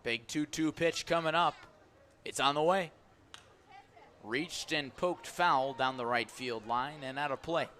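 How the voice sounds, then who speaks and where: A man's voice: fairly high pitch, a little slow, pushed and bright. A man calling baseball play-by-play live on the radio in short, clipped, vivid phrases. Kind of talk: live radio sports commentary. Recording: live ballpark broadcast with background noise.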